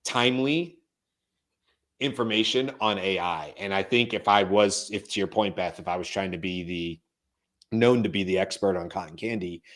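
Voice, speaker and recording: A man co-hosting a live talk show, going hoarse and talking in a relaxed, rambling way full of fillers. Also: low voice, moderate pace. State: hoarse, losing his voice. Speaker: co-host. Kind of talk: casual live show chat.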